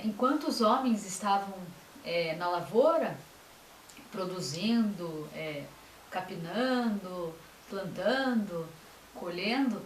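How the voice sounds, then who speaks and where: A woman gives a talk on local history, speaking in short phrases with many fillers. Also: medium pitch. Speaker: author giving a talk. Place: lecture room. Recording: echoey room, mic from far off, faint hiss.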